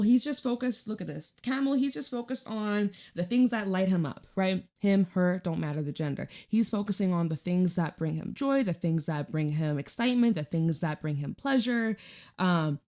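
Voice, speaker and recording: A woman with a raspy, hoarse voice giving a casual, chatty tarot reading, informal and emphatic, repeating phrases for emphasis. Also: medium pitch, a little mellow. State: hoarse, recovering from losing voice. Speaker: tarot reader and channel host.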